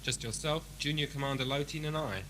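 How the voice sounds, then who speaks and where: A man's voice: a little high, fairly quick, fairly bright tone, close to an everyday voice. A man playing a weary, principled prison ship commander in an audio drama, speaking in a formal, dry, authoritative way. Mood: businesslike. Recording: clearly noisy 1980s cassette, background ambience.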